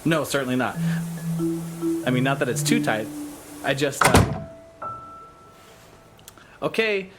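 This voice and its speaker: A man's voice: medium pitch, sing-song, slow, pauses often. An actor playing an awkward, helpful man in an audio drama, bashful and prone to rambling. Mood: flustered. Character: awkward, flustered, helpful man hosting alien visitors. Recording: loud music under the voice.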